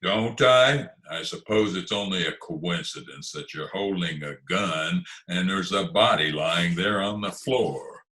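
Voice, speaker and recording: A man playing a gruff, impatient, sardonic detective in an old-time radio comedy-mystery, brusque and deadpan. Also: sits low, unhurried, few pauses. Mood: suspicious. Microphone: video call. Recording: roomy video call recording.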